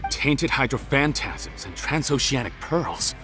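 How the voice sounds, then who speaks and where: An actor voicing a smug, cunning criminal boss in an anime game, coldly threatening. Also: male voice, mid-range voice, expressive, measured pace, over music, natural voice. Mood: skeptical. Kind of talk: anime game villain acting.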